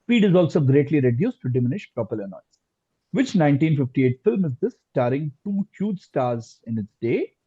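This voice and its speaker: A man hosting a quiz live, reading questions aloud deliberately and repeating answers and phrases, over lo-fi online call audio. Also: on the high side, sing-song, dark tone, breathy edge. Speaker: quizmaster.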